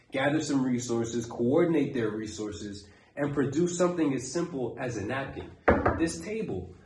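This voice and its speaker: A man's voice: mid-range voice, a little mellow. A man giving a blunt, profane motivational monologue as a self-improvement coach, circling back to his point again and again. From Brooklyn, New York. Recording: roomy echo, faint background noise.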